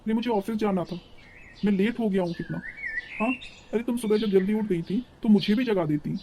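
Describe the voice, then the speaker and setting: A woman's voice: mid-range voice, a little fast, warm tone, slight background noise, natural voice. A woman playing an exasperated, hot-tempered young city husband in a cartoon, scolding and impatient. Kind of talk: cartoon story dialogue.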